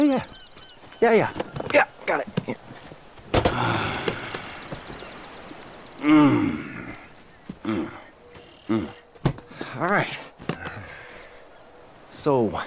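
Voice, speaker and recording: A man's voice: medium pitch, creaky, noisy background, exaggerated voice. An actor playing a nervous undercover male cop who stammers and overdoes his act. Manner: jittery, stammering, overeager.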